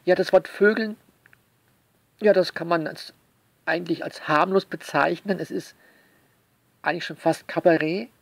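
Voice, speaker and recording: A man delivering a sarcastic spoken essay to listeners, theatrical and mock-indignant, leaning on words with drawn-out emphasis. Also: on the high side, gravelly, no echo. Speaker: author commenting on their own novel.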